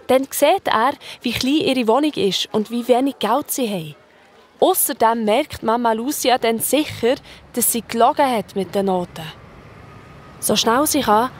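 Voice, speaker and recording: A woman as narrator, telling a scripted children's story in a colloquial, expressive way. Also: on the high side, a little hiss or hum.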